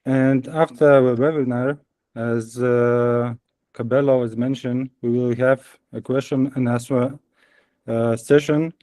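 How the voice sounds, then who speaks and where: A man from Lithuania with a Lithuanian accent, a company CEO giving brief welcome remarks in a halting way, often restarting his phrases. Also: mid-range voice, fairly even intonation, dark tone, no room sound.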